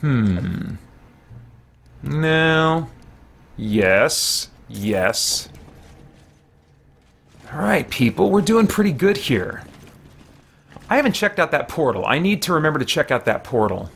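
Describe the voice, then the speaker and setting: A man's voice: a little high, big pitch swings, takes it slowly, vocal fry, long pauses, a bit bright, breathy edge. A man in his forties doing casual livestream gameplay commentary, playfully talking to himself, his characters and viewers. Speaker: game streamer and host. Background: game music.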